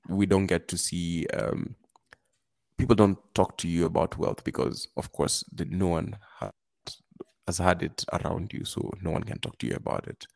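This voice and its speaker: Low gravelly man giving earnest advice. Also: a little mellow, no room sound. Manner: earnest, halting with many restarts. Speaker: podcast guest. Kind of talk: casual advice talk.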